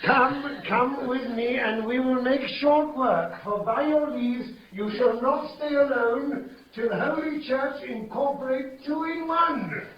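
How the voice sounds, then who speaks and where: An actor playing a solemn, kindly friar with grave, deliberate declamation in a 1950s radio play. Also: male voice, extremely high pitch. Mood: brisk, kindly. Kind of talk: 1950s radio Shakespeare acting. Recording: echoey, muffled old radio, noisy background.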